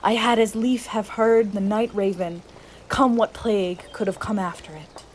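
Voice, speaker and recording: A woman's voice: mid-range voice, steady tone, normal speed, natural voice. A woman as a sardonic soldier, slightly noisy recording. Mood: sardonic, grumbling. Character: male soldier, grumbling, sardonic eavesdropper. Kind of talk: amateur Shakespearean radio theatre acting.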